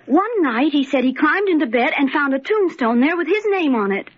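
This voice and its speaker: A woman's voice: high voice, big pitch swings, moderate pace, no echo. An actress playing an anxious, determined young woman's part in old-time radio melodrama. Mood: distraught. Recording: muffled, hissy old radio recording.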